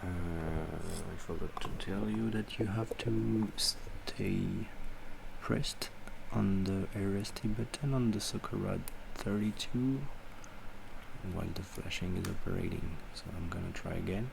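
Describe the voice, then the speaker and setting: A man with a French accent, a native French speaker, giving a hesitant, unscripted software tutorial with frequent pauses. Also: low-pitched, vocal fry, background noise. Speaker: tutorial presenter.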